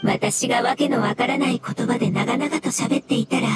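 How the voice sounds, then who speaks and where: A woman's voice: on the high side, normal speed, natural voice. A woman voicing a polite, earnest mushroom-like elemental creature in an anime game, speaking in a formal, literal-minded, pedantic way. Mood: earnest, explanatory. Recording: loud music behind the voice.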